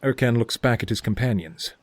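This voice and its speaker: A man's voice: medium pitch, a little fast, dry recording. A man reading scripted narration for a fantasy story as its narrator.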